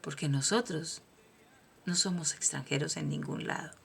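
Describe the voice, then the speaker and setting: A woman from Colombia with a Colombian accent, giving a personal motivational monologue as host, emphatic and preaching, swinging from soft asides to loud declarations. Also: sits low, moderate pace, gravelly, slight background noise.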